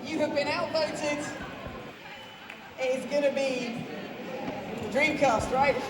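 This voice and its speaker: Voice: high-pitched, steady tone, slow pace, very noisy. A moderator running a live panel and polling the audience, teasing the panelists. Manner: teasing, joking. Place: large convention hall, live panel. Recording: echoey hall from far off, loud crowd.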